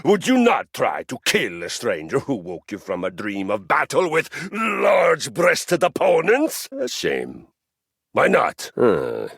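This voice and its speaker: An actor voicing a boastful, violence-loving male Viking exercise machine in a video game, pompous and quick to threaten a fight. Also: medium pitch, huge pitch swings, vocal fry, fairly bright tone, slightly rough, no room sound, clean studio sound, exaggerated voice.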